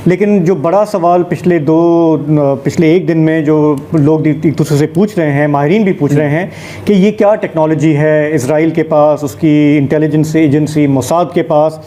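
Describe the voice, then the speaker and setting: A man presenting a live news discussion in a measured, explanatory way. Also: fairly high pitch, steady tone, mellow.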